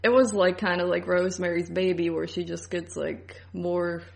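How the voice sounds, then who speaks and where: Low, creaky-voiced woman chatting casually. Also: steady tone, normal speed. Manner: informal, hedging, frequent "like". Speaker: podcast co-host. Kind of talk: casual film review chat.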